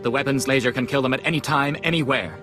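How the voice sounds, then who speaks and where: An actor playing a grave, precise scientist doctor in a video game, giving serious, urgent instructions. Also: male voice, mid-range voice, fast, music in the background, lightly acted. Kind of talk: video game cutscene acting.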